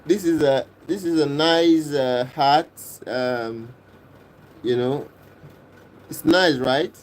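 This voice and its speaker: A man from Kenya hosting a livestream, reading and answering viewers' comments in a casual, unhurried way and often repeating himself. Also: fairly high pitch. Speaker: livestream host reading chat. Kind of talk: casual live chat.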